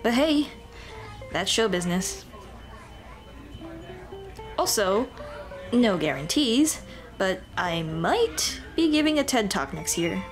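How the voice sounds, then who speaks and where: A woman's voice: mid-range voice, slow pace, pauses often, soft background music. A woman voicing a cheerful headless Dullahan on a date in a scripted ASMR roleplay, chatting playfully and directly to the listener. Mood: upbeat, wry. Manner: playful, animated, punning, speaking directly to the listener.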